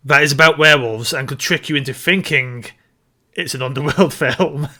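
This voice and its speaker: Bright-voiced man chatting casually about films. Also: fairly high pitch, moderate pace. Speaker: podcast co-host. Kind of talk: casual film review chat.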